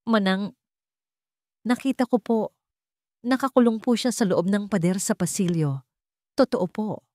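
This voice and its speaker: A woman's voice: mid-range voice, unhurried, long pauses, a little mellow, no room sound, voice pushed up, natural voice. A woman narrator plays a young servant girl in a dramatic story. She is frightened and pleading, polite yet urgent, in a dry, close recording.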